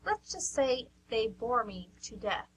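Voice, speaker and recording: A woman's voice: a little high, big pitch swings, measured pace, breathy edge, full character voice, low-quality recording. A woman playing a dry, teasing, pragmatic friend in an amateur radio drama.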